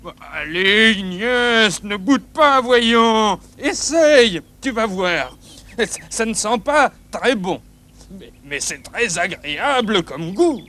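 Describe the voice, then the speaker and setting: Fussy old grandfather, high-pitched, unhurried, kindly, coaxing, children's show. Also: animated, pushed and bright, heavily acted. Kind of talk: children's puppet show acting.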